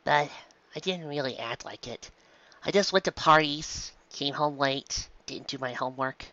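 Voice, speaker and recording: A man voicing a gentle, guilt-ridden adult pegasus mare, a mother who talks softly and haltingly in a fan fiction audiobook. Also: mid-range voice, no echo, dull audio. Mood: ashamed. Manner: halting, soft, apologetic.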